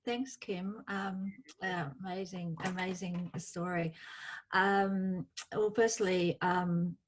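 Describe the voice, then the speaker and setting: A woman's voice: on the low side, fairly even intonation, slow, low-quality recording. Hesitant, modest woman from Australia. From outback New South Wales, Australia. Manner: modest, hesitant, many fillers. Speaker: guest. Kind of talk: personal story on a panel call.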